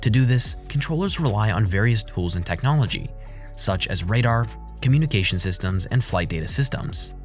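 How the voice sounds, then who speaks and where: Low-voiced male documentary narrator over music. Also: animated, brisk. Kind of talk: scripted explainer narration. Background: background music.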